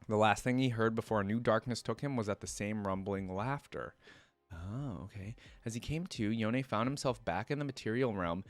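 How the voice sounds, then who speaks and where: A man giving casual reaction commentary, reading aloud and thinking out loud in an informal, musing way. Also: fairly low pitch, no echo. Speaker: reaction video creator.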